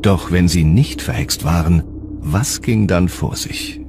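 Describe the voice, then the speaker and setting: A man's voice: sits low, slow, creaky, background noise. A man reading scripted, dramatic narration for a history documentary. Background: music.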